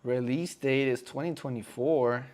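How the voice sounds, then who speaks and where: A man's voice: mid-range voice, fairly even intonation, a little slow, a little mellow. A man in his twenties chatting casually on a live stream in slangy talk, often calling viewers "bro". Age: 20s.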